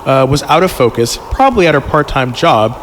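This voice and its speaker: A man hosting a podcast, reading a story aloud haltingly with casual asides and chuckles. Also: medium pitch, lively intonation, a bit bright, slight background noise. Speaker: podcast host and reader. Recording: music playing behind the voice. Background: prominent background music.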